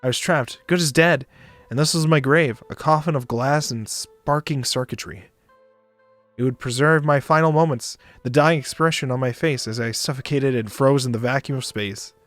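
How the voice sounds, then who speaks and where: A man doing a dramatic narration of a visual novel for a stream, expressive, sometimes stumbling and joking. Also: medium pitch, moderate pace, dry recording. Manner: expressive, stumbles and rereads lines. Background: music behind the voice.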